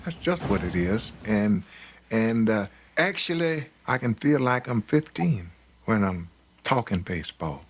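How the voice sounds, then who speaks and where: A man talking reflectively and haltingly about a sport, repeating words as he goes. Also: fairly low pitch, very animated, slight background noise. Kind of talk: reflective, unscripted talk about baseball.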